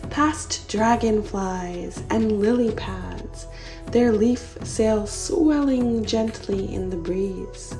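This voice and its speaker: A woman narrates a children's picture book in an expressive storytelling style. Her voice is fairly high and mellow, and she takes her time over each phrase. Music plays softly along with her. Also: creaky. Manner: unhurried, expressive read-aloud delivery.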